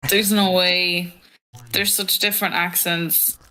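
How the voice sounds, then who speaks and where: Irish woman, unhurried delivery. Emphatic, repeats her denials, playful stream chat. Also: on the low side, level tone, sharp tone, rough recording. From Ireland. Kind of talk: casual banter.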